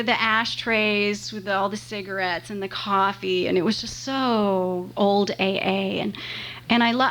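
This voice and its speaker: A woman in her forties from the San Fernando Valley speaks into a podium mic at a meeting, chatty and self-deprecating, often stopping and restarting her sentences. The live room recording carries a faint hiss and low hum. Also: fairly bright tone. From Los Angeles, San Fernando Valley. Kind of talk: personal talk at a meeting. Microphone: podium microphone.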